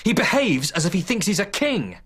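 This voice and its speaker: An actor playing a reserved, jealous young gentleman with a hesitant, evasive manner in a radio drama. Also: high voice, sing-song, fairly quick, exaggerated voice. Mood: scornful, jealous. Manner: hesitant, guarded.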